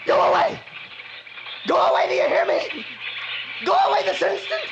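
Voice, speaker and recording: An actor playing a frightened lighthouse keeper who turns into a mad, theatrical ham, in 1940s radio acting style, on an old radio recording with music. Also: male voice, big pitch swings, normal speed, creaky, lo-fi sound, full character voice. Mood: panicked. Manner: shrill, panicky, then theatrical and giggling.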